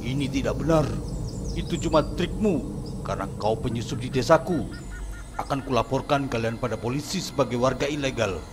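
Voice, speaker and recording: A greedy, arrogant village head in a horror cartoon, played by a man, scornfully barking orders at his servants. His voice sits at a middle pitch. A clear layer of background noise runs under his lines. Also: brisk, natural voice.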